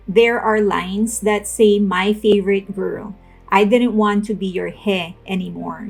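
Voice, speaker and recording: A young woman hosting a commentary channel, speaking casually to camera and then reading a scripted voice-over analysis in an even, explanatory way. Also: medium pitch, gravelly, husky. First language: not Korean. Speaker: video essay host. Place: home room with plush toys.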